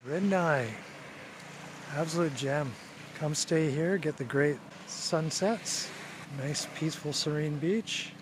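A man's voice: a little high, takes it slowly, noisy background. A man casually narrating a travel vlog, wry and chatty, chuckling to himself. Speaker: travel vlogger.

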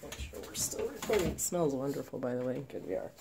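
A woman's voice: rumbling low, lively intonation, quick pace, noisy background. A woman with a cold, chatting casually as she demonstrates a paint pour at her home craft table, recorded on an overhead phone. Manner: chatty, self-deprecating asides. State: has a cold, snuffly. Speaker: acrylic pour artist. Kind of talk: casual tutorial chat while working. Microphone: phone camera overhead.

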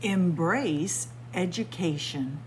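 A woman's voice: on the low side, slow, a little hiss or hum, poor audio. A middle-aged woman librarian reading a picture book aloud to children, word by word, with teacherly emphasis, recorded close on a webcam in a small library room. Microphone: webcam or phone close up at arm's length.

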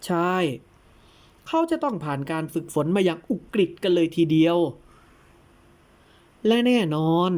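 A woman voicing a patient, probing male teacher in an audio tale, who explains things in a deliberate, didactic way. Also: mid-range voice, mellow, close to an everyday voice. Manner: deliberate, didactic, breaks words into syllables. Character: patient, probing old-fashioned male teacher instructing his pupil.

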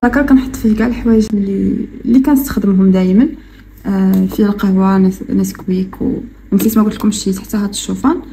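Moroccan girl vlogging in her kitchen, talks fast with lots of ums, echoey room, mic kinda far. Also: mid-range voice, gravelly, faint music behind. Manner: chatty, relaxed, many hesitations. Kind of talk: casual vlog narration. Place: apartment kitchen, indoors. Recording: echoey room, distant mic, noisy background.

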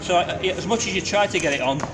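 Middle-aged man, rambling track-day chat, slightly high voice, off-mic, loud music behind. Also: quick pace, distant mic. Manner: chatty, rambling, with many fillers and restarts. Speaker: motorcycle track day vlogger. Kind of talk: casual vlog chat. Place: paddock garage at a race track.

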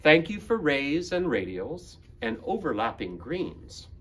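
A man in his fifties reading a picture book aloud to children in a deliberate manner. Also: on the high side, very animated, husky. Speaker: school librarian, guest reader. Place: school library room, indoors.

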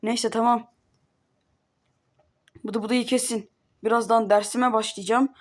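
A woman's voice: on the high side, level tone, unhurried. A woman voicing a stern cartoon schoolteacher who lectures and scolds the class. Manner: bossy, lecturing.

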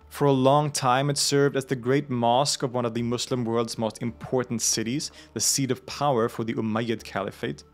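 A man narrating a history documentary in a measured, informative way, now and then adding a small aside. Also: mid-range voice. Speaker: host of a religion history channel.

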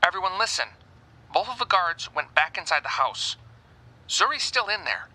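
An actor playing an anxious lookout giving urgent warnings in an audio drama. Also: male voice, sits high, very animated, normal speed, sharp tone, heavily acted, low-quality recording. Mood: worried.

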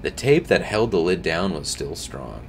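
A young man reading a scary story aloud at home, tripping over words now and then and adding quiet asides. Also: low-pitched, very animated, normal speed, vocal fry, slight background noise. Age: young adult. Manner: reads with slips and restarts, drops to quiet asides. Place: home streaming room, indoors.

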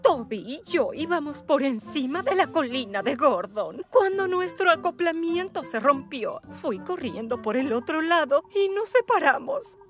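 Casting: fretful lady train carriage, extremely high, swooping, Latin American Spanish, children's cartoon with music underneath. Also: big pitch swings, a little slow, few pauses. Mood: distressed. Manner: plaintive, exclamatory. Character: anxious, fretful, affectionate female railway coach. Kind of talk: children's cartoon dub acting.

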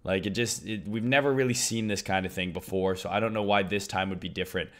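Hoarse young man, loud trading streamer. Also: sits low, fast talker. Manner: loud, excitable, swears casually. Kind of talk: casual live-stream chart commentary.

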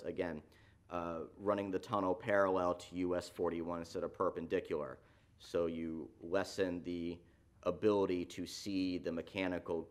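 A low-pitched man presents a planning report to a zoning board in a council chamber. He explains step by step, often pausing on fillers and restarting his phrases. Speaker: city planning staff presenter. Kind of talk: staff report at a public meeting.

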